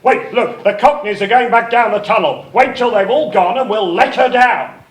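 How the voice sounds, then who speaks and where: An actor playing an impatient, sarcastic adventurer film director who barks brisk orders in a live comic radio parody. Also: male voice, high voice, close to an everyday voice. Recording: roomy, distant, noisy live theatre recording.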